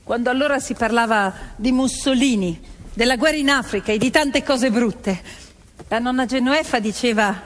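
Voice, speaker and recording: An actress playing a peasant woman recounting family memories, with deliberate, expressive theatrical phrasing in an echoing space. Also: fairly high pitch, close to an everyday voice. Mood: reflective. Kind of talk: theatrical storytelling over music. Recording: echoey hall with long reverb. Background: violin music.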